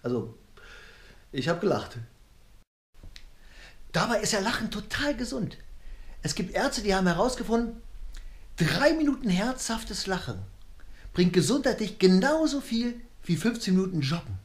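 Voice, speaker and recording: Male comic, middle-aged, conversational and jokey, a little high in pitch, roomy home-recorded sound. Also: big pitch swings, moderate pace, long pauses. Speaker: comedian and cabaret artist. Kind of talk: comedy monologue to camera. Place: small rooms of a flat, indoors. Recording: echoey room.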